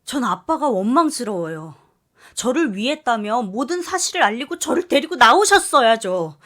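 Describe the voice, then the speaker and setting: A woman's voice: on the high side, sing-song, moderate pace, fairly bright tone, voice pushed up. Lady playing a hurt young guy, bitter n accusing, emotional outbursts, radio story style. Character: anguished young adult son, bitter and accusing. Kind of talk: dramatized story narration.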